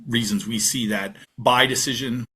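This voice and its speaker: Male co-host, casual and conversational, bright, forceful tone with a husky edge. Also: mid-range voice, steady tone, moderate pace. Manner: conversational, lots of "you know" asides. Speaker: podcast co-host. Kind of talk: casual chat.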